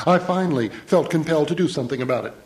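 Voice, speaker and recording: An actor playing an anxious, haunted traveller, narrating a horror radio drama in literary, ominous phrases. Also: male voice, fairly high pitch, natural voice. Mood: uneasy dread. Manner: literary, measured, ominous, urgent under stress. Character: anxious, haunted traveller recounting his ordeal.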